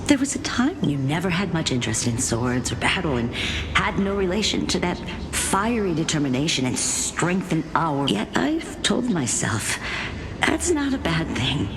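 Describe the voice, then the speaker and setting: A woman voicing a dignified, loving grandmother who is the spirit of a warrior in a story game, solemn and measured. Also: deep voice, very animated, noisy background, full character voice. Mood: wistful. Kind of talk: voiced game story dialogue. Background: music.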